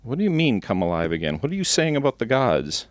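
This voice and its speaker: A man reading aloud as an earnest, anxious teenage girl who longs to play a stage role, lifting his voice higher and brighter for her. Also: big pitch swings, quick pace, no echo, lightly acted. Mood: eager. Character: young teen girl actress, anxious, hopeful.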